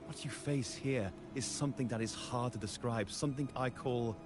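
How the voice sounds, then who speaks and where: An actor playing a poetic, calmly defiant storyteller in a video game, eloquent and measured. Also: male voice, medium pitch, over music, lightly acted. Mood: calm, grave.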